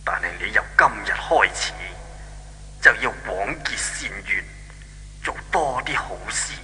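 A man's voice: medium pitch, lively intonation, measured pace, bright, forceful tone. A man playing a stern but benevolent old deity in a Cantonese radio drama from 1970s Hong Kong, preaching in a solemn, commanding manner. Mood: solemn reassuring. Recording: echoing, hissy old radio drama recording.

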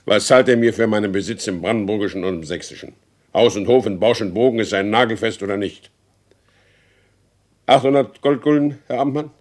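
An actor playing a proud, stubborn, wronged horse dealer in a radio drama, speaking in formal, insistent old-fashioned language. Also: male voice, lowish voice, a little fast, darkish tone, close to an everyday voice. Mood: indignant, restless.

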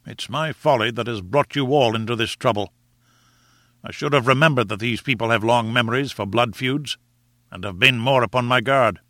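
A man's voice: mid-range voice, normal speed, sharp tone, dry recording, clean studio sound, natural voice. A man reading an audiobook, voicing a grave English lord adventurer who blames himself bitterly.

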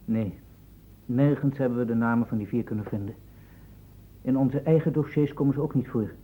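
An actor playing an earnest, concerned male investigator in a radio drama, with a husky voice and careful, formal speech. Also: medium pitch, brisk, close to an everyday voice. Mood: earnest, thoughtful. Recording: muffled phone-like old radio recording.

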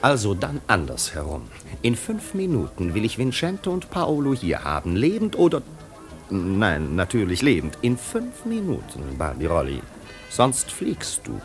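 A man's voice: on the low side, wildly swooping pitch, unhurried, heavily acted. An actor playing an imperious, impatient nobleman giving curt orders to a servant in a 1950s radio play. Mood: angry, commanding. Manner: commanding, curt, threatening. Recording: 1950s radio recording, faint music behind.

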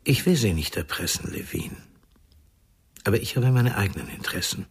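Very husky, insinuating shady informer. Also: male voice, lowish voice, animated, fairly quick, heavily acted. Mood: quietly menacing. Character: shady, insinuating informer, a man pursuing his own interests. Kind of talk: German radio crime drama dialogue.

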